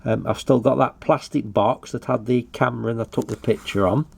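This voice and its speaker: A man's voice: medium pitch, steady tone, brisk, vocal fry, husky. An older British man giving a casual show-and-tell commentary in a hesitant, matter-of-fact way. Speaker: hobbyist video presenter.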